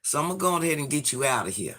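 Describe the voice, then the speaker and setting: A man in his forties, hoarse from illness, chatting sassily with viewers on a livestream and reading out their comments. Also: on the high side, very animated, very fast, bright, forceful tone. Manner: sassy, chatty, addresses viewers by name. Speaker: livestream host.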